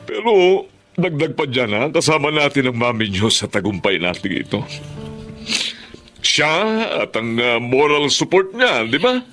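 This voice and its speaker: A loving husband and father with a mid-pitched, very expressive voice. He speaks earnestly and haltingly, in radio drama style, over faint music. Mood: tearfully proud. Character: Nick, loving, self-sacrificing husband and father. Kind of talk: emotional Filipino radio drama acting.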